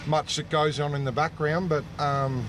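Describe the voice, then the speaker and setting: A man's voice: a little high, steady tone, moderate pace. A man, talking casually in an interview as an event crew worker. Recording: noisy outdoor location with vehicle ambience.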